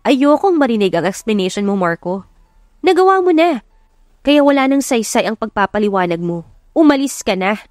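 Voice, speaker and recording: A woman's voice: a little high, big pitch swings, normal speed, no room sound, studio quality. A woman voicing an angry, defiant young woman hurt by a cheating boyfriend, emphatic and accusing, in an audiobook romance drama.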